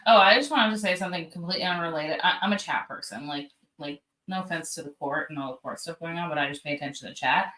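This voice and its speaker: A chatty, self-deprecating woman with an East Coast Canadian accent, co-hosting a stream. Her voice is bright and sharp-edged. She sits a little back from the mic in an echoey room. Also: low-pitched, fast, hardly any pauses, recorded from a distance. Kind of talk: casual chat.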